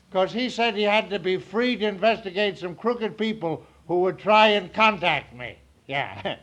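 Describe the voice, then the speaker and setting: A high-pitched, excitable drifter in an old-time radio play. He stammers in a folksy, simple-hearted way, and his voice swings up and down through the vintage broadcast. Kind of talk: 1940s radio drama acting.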